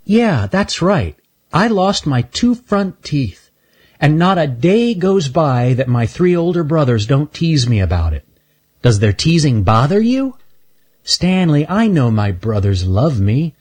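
A man's voice: a little high, very animated, unhurried, voice pushed up. Man doing a kind, reassuring storybook girl. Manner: warm, encouraging.

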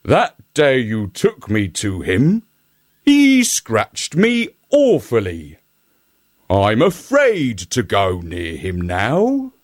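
A man's voice: on the low side, huge pitch swings, no echo, clean studio sound, heavily acted. A man voicing a vain, timid female donkey who simpers and draws out her words. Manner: simpering, drawn-out.

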